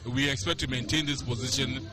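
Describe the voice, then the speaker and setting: A man's voice: mid-range voice, fairly even intonation, brisk. A man being interviewed at a festive event, jovial and joking between answers. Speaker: airline executive interviewee. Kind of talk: on-location interview. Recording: music playing behind at an event.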